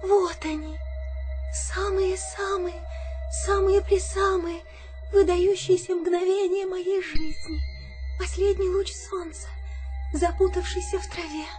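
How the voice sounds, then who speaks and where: A woman's voice: extremely high pitch, level tone, slow pace, a little mellow, faint music behind. An actress playing a dying butterfly in a radio play, dreamily and wistfully savouring her life's loveliest moments. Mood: dreamy, wistful.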